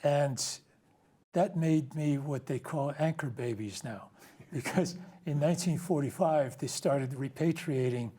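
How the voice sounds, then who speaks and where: An elderly man in his eighties, a native Ukrainian speaker, tells his story as an interview guest. His voice is mellow and husky, and he rambles, often stopping to restart or correct himself. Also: a little high, unhurried. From United States. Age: 80s. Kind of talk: casual interview.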